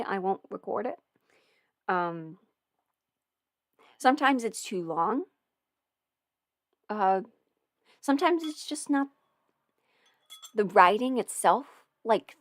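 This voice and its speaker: A woman hosting a casual livestream, chatting informally and thinking aloud as she goes. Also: mid-range voice. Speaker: livestream host and voice performer answering viewer questions. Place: indoors at a desk at home.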